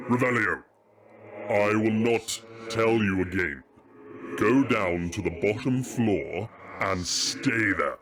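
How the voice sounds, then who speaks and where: A man's voice: lowish voice, slow, crowd noise, pitched above the performer's own voice. A man voicing a regal dragon king in an audio drama, stern in command and a doting, fretful father underneath. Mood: stern warning. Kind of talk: audio roleplay drama acting.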